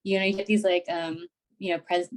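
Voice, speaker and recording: A woman's voice: on the low side, flat delivery, fairly quick. A woman in her twenties, a graduate student guest on a podcast, talking casually with many "like"s and "you know"s. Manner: chatty, heavy use of "like" and "you know". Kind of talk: casual interview chat.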